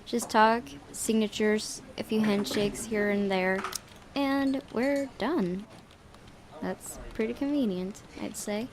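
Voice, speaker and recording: Woman, game let's-play host, expressive narration, casual giggly asides, medium pitch. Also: takes it slowly, noisy background. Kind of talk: reading a visual novel aloud with casual reactions.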